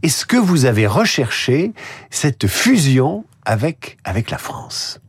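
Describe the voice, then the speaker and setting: Male radio host, husky voice, dry studio sound, asks formal and elaborate questions. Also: medium pitch, sing-song, slow pace, dry recording. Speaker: radio morning show host.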